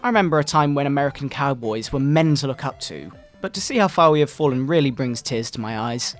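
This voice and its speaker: Man acting a pompous, theatrical fundamentalist preacher, swooping pitch. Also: animated, fast talker, close to an everyday voice. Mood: righteous scorn. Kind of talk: dramatic satirical scripted reading. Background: retro video game music.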